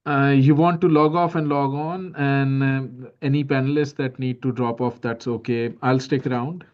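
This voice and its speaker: A man moderates a live online pitch session, keeping things moving with short, upbeat, reassuring remarks. His voice sits in a middle range. The sound is the dull, lo-fi audio of a video call. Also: steady tone, moderate pace.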